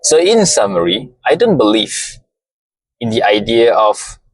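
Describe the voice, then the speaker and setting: A man with a Malaysian accent, a native Malay speaker, giving a slow, deliberate motivational talk and often repeating phrases. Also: fairly low pitch, sing-song, dry recording, low-quality recording. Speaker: podcast host.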